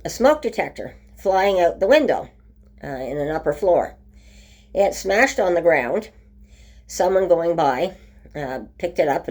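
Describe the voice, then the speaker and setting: A woman talking casually in a home video update, her voice rough from a cold, full of ums and restarts. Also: low-pitched, darkish tone. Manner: chatty, many ums and restarts. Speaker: vlogger. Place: at home by a window.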